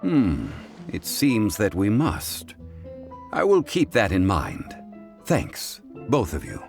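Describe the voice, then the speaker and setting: Deep-voiced, dignified learned gentleman. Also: wildly swooping pitch, vocal fry, soft background music, heavily acted. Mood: composed. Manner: formal, measured, lecturing. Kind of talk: video game cutscene voice acting.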